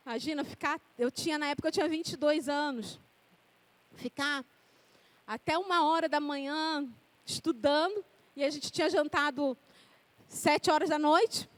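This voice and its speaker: A woman's voice: extremely high pitch, dry recording. A woman in her forties preaching a sermon, reading scripture and then telling personal stories in a conversational way. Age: 40s.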